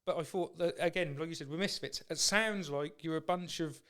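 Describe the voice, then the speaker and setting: A man's voice: fairly high pitch, a little fast, fairly bright tone. A man hosting a podcast in casual chat, with an informal, matey way of talking. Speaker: podcast host.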